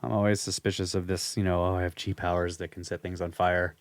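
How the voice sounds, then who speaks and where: A man co-hosting a podcast, reading a listener's email aloud and then chatting about it in a relaxed, skeptical way. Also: low-pitched, fast talker, clean tone, no echo, clean studio sound. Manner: laid-back, skeptical, chuckles often. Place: studio.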